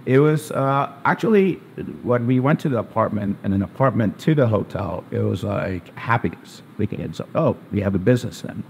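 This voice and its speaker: A man's voice: fairly low pitch, expressive, a little fast, vocal fry, few pauses, a little mellow, slight background noise. A man born in Zambia and raised in New Jersey, a physician answering interview questions hesitantly with many uh's and um's. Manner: hesitant, lots of uh and um, plain spoken. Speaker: guest, family physician.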